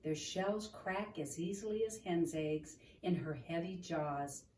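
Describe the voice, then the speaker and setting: A woman reading a children's book aloud in an expressive, deliberate storytelling manner, in a roomy, noisy recording made at a distance from the microphone. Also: on the low side. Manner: expressive, deliberate, asking the listener questions.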